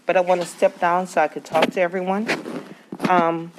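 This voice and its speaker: A woman's voice: on the low side, flat delivery, moderate pace, background noise. Plainspoken woman with a big voice. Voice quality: big, carrying voice. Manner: direct, plainspoken, reassuring the residents. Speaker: executive director. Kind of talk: addressing a public hearing.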